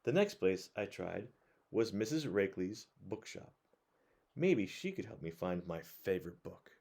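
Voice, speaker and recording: Man, children's storybook reader, lively swooping pitch, creaky voice, conversational asides, expressive. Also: normal speed. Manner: expressive, animated, addresses young listeners with questions.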